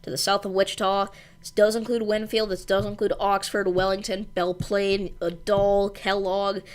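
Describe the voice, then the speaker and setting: A woman hosting a live weather stream, briskly reading out storm warnings in an informal way with some fillers. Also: medium pitch, level tone. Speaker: weather livestream host. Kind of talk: live severe weather coverage.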